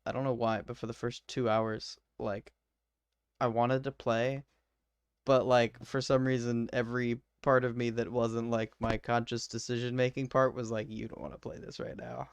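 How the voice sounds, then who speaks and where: A man with a gentle Southern accent, rambling loosely and jokily after a game. His voice is smooth and resonant, and the recording is dry and close. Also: medium pitch, level tone, normal speed, no room sound. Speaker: player. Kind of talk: casual post-game chat.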